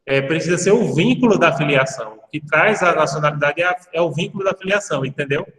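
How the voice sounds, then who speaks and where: A Brazilian man teaching a law class, talking in a fairly high voice for a man. He explains in a roundabout way, drifting into asides and tagging his points with quick questions. His voice comes from some distance off the mic, with long echo trailing after it. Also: a bit bright. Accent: Brazilian Portuguese. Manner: explanatory, digressive, frequent tag questions. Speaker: university law professor. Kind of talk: lecturing a class. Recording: echoey hall-like sound, distant from mic.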